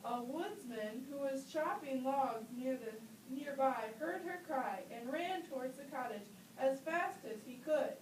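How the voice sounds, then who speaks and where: A woman's voice: high voice, expressive, a little slow, hardly any pauses. A woman narrator telling a scripted children's story for a puppet show. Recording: distant roomy recording with faint noise.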